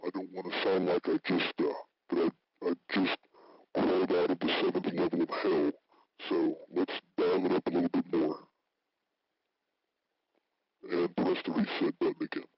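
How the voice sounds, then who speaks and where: Low man's voice through a voice changer. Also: flat delivery, a little fast, husky, no room sound. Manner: informal step-by-step explaining. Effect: pitch-shifting electronic voice changer played through a small speaker. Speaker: tutorial host. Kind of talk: hands-on electronics demonstration. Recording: dull sound from cheap speaker.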